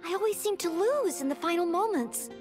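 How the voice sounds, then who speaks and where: A woman's voice: very high voice, lively intonation, a little fast. A woman voicing a polite, earnest young maid who wants to be a knight, in courteous, hesitant video game dialogue. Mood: modest, downcast. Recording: game music behind the voice.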